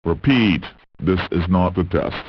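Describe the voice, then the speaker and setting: Muffled, low-voiced male emergency announcer. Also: husky, dry recording. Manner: formal, measured. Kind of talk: scripted emergency broadcast announcement. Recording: muffled lo-fi radio broadcast sound.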